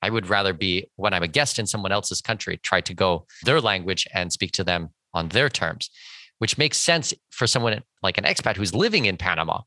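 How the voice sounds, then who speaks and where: A man from Canada hosts an interview with a bright, forceful voice. He talks in a dry, close sound and works through his ideas in long, hedged sentences. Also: deep voice, animated, fairly quick, dry recording. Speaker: podcast host. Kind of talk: conversational interview.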